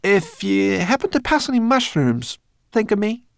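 A man's voice: mid-range voice, animated, moderate pace, dry recording, clean studio sound, voice pushed up, close to an everyday voice. A man voicing a feisty, bossy young female brownie creature in a storybook, brash and impatient. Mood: insistent.

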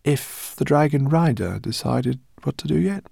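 A man voicing an excitable, kindly gray-haired professor with a hearty, exuberant manner in a storybook. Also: a little high, a little slow, vocal fry, husky, no echo, studio quality, pitched above the performer's own voice, full character voice. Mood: awkward.

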